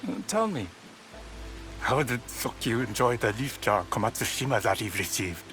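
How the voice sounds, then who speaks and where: Refined, expressive tea master played by a man. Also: medium pitch, normal speed, faint music behind, close to an everyday voice. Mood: genial. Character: refined, genial tea master of feudal Japan.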